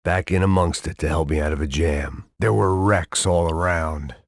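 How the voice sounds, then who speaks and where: A worn-out middle-aged ex-cop narrates a video game in a deadpan, bitter drawl. His voice sits very deep, and the studio sound is close and dry with no room around it. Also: normal speed, no room sound. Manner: deadpan, bitter, self-mocking.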